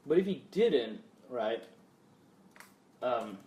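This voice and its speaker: A man's voice: medium pitch, sing-song, extremely slow, dark tone. Casual man in an echoey room. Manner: talks in loose restarts, asks for agreement with "right?". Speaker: podcast co-host. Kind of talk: casual film chat.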